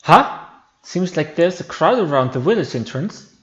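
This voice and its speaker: A man's voice: fairly high pitch, expressive, moderate pace, a bit bright, muffled recording, slight background noise. A man who is a non-native English speaker, doing an amateur acted reading of video game dialogue. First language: not English. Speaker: amateur game voice-over player. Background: game music.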